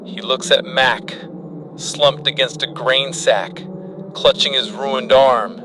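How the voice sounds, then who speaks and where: A man narrating scripted horror dramatically and gravely, in clipped, halting phrases with heavy pauses. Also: medium pitch, fairly even intonation, noisy background.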